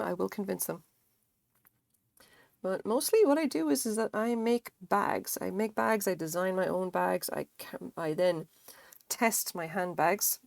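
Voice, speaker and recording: An Irish woman in her thirties, chatting loosely to a live-stream audience. Her voice sits a little low, and she rambles and swears with easy humour. The sound is dry and close, with no room echo. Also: vocal fry. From Ireland. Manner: chatty, rambling, swears freely, laughs often. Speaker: crochet streamer. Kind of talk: casual live-stream chat.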